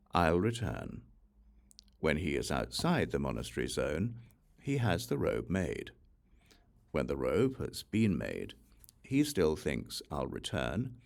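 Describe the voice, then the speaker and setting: A man reading scripture aloud as an audiobook narrator, in a measured, formal manner. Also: deep voice, creaky, darkish tone. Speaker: audiobook reader.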